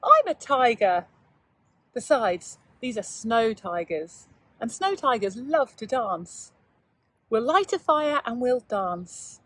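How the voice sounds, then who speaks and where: Big bossy snow tiger read by a woman, husky, emphatic, friendly, dry close sound, storybook character. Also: a little high, big pitch swings, slow pace, fairly bright tone, dry recording, exaggerated voice. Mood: snappy, confident. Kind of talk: children's storybook read-aloud.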